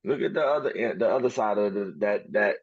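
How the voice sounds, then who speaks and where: A man with a very deep, husky voice who hosts a podcast and presses his points hard, often saying the same phrase twice. The recording is dry and a bit dull, as if made with cheap gear. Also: fairly quick, dry recording. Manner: emphatic, repeats himself to press a point. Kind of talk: podcast conversation.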